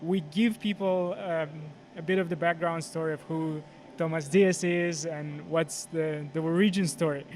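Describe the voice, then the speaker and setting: Brisk male interviewer, faint hum. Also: fairly high pitch, fairly even intonation, darkish tone. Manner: brisk, encouraging, short prompts. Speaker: podcast host, interviewer. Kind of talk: interview questions.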